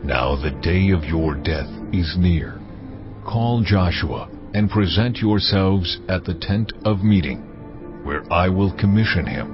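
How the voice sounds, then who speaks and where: A stern male deity in an audio scripture drama, with a very deep voice. He speaks slowly, with grave and ominous authority. Music runs clearly beneath him. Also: lively intonation. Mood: commanding. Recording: muffled audio with music underneath.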